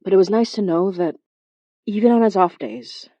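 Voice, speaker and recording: An actress playing a compassionate supernatural investigator, narrating a horror audio drama in an introspective, wryly understated way. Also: female voice, mid-range voice, normal speed, warm tone, no echo, natural voice. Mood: somber, intent. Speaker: narrator and lead character.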